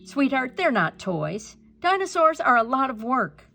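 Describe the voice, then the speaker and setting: A woman's voice: high-pitched, big pitch swings, unhurried, creaky, bright, forceful tone, pitched above the performer's own voice, full character voice. A woman voicing an exasperated, worried mother in a read-aloud storybook, emphatic and protesting. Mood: weary, reasoning.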